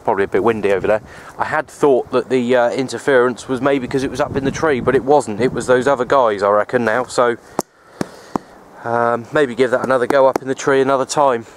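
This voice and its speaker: A man, rambling casually in a low-key, resigned way as he narrates a vlog on a handheld camera. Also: fairly even intonation, a little fast. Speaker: outdoor radio hobbyist vlogger. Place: outdoors on an open wooded hilltop. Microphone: handheld camera's built-in mic, held by speaker. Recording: outdoor handheld camera, wind and ambient noise.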